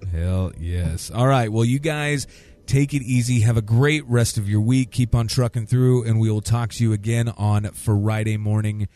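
A man co-hosting a podcast who gets excitable, swears a lot and laughs often. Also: lowish voice, normal speed. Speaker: podcast co-host. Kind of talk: casual podcast chat.

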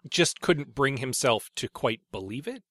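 Podcast co-host, male, slightly phlegmy, wry recap delivery, bright tone, dry close mic. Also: medium pitch, measured pace, no echo. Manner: recapping chapters in a relaxed, wry way. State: congested with allergies. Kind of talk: casual book discussion podcast.

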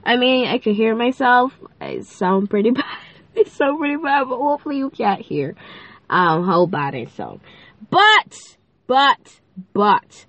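A woman's voice: on the high side, sing-song, a little slow, fairly bright tone, no room sound, muffled recording. A fifteen-year-old girl hosting her own faith podcast, chatty and playful, with a stuffy, nasal voice and a lilt. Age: teens. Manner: bubbly, chatty, playful, many fillers. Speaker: podcast host. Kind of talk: casual faith podcast chat.

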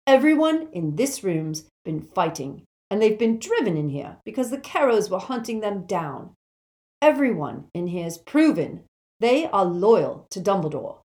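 A woman narrator plays a teenage schoolboy rebel in an audiobook. He is determined and indignant, pushing each phrase with insistent emphasis. The pitch is lifted and moves around a lot. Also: very animated, unhurried, a little mellow. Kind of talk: audiobook character voice.

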